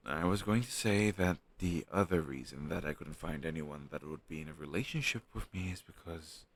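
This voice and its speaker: A man playing a flustered young man shyly hiding a crush on his best friend, speaking hesitantly and sheepishly in an intimate audio roleplay. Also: rumbling low. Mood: flustered, confessional. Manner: hesitant, sheepish, halting conversational delivery. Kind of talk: intimate one-sided conversational audio roleplay.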